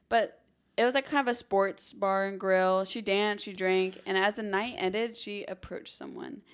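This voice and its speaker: A woman with a mid-pitched voice speaks as a podcast co-host. She moves casually between storytelling and chatty, informal commentary. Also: clear, resonant tone. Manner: informal, chatty, acts out imagined remarks. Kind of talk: casual conversational true-crime storytelling.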